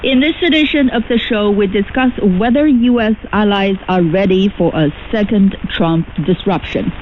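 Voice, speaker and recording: News host on a dull, noisy signal. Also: male voice, high voice, moderate pace. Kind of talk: scripted news presentation. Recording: dull noisy shortwave radio broadcast.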